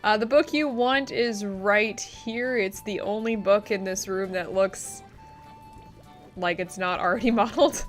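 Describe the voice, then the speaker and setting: A woman's voice: medium pitch, unhurried. A woman hosting a let's play, giving casual game commentary. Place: voice-over on game capture. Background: game music.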